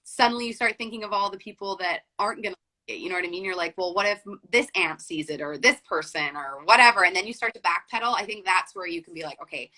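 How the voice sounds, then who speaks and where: A woman's voice: lowish voice, fast, pushed and bright, poor audio. A young woman guest talking casually in an interview, full of "like" and "um" fillers. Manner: chatty, frequent "like" and "um" fillers. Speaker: podcast guest.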